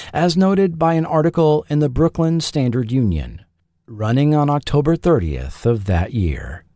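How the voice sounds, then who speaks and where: A man reading scripted documentary narration in a measured, dramatic storytelling manner. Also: on the high side, a little mellow, no room sound, studio quality. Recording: music bed under the voice. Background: background music.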